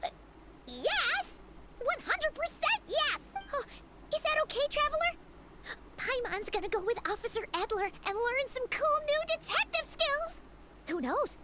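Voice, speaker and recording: An extremely high, squeaky little fairy girl in a video game. She swoops around excitedly, bubbly, eager and pleased with herself, over loud background noise. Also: measured pace, full character voice. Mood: thrilled. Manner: speaks of herself in third person, bubbly. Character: tiny excitable childlike fairy companion, proud and eager.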